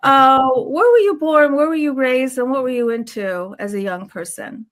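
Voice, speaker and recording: A woman with a somewhat high-pitched voice works as a podcast host. She puts questions to her guest in a conversational interview style. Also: normal speed.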